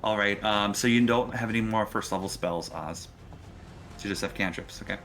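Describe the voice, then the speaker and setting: Male game master, lowish pitch, forward bright tone, narrating tabletop play over faint music. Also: a little fast.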